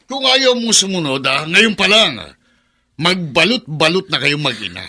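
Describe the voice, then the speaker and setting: A man's voice: a little high, sing-song, measured pace, pushed and bright, full character voice. A domineering middle-aged Filipino villain, with a very hard, cutting voice. His delivery swings up and down as he sneers and bullies in radio melodrama style. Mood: angry threatening. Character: greedy, bullying brother-in-law, domineering older male villain. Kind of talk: melodramatic radio drama acting.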